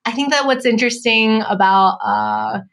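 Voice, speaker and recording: A woman's voice: a little high, wildly swooping pitch, normal speed, fairly bright tone. A woman in her thirties with an American accent, a professor speaking as an interview guest in a reflective, hedging way. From United States. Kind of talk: casual interview conversation.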